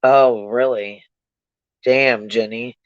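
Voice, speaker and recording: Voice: medium pitch, fairly even intonation, takes it slowly, no echo, lo-fi sound. A livestream host giving casual, blunt commentary to viewers in slangy, profanity-laced speech, often repeating points for emphasis.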